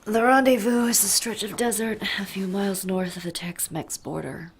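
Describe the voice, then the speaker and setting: A woman playing a weary, withdrawn go-between in an audio drama, listless and deliberate, often slipping toward a breathy whisper. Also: mid-range voice, fairly even intonation, slight background noise, close to an everyday voice. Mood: weary, secretive. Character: weary, withdrawn woman arranging a covert deal. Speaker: voice actor.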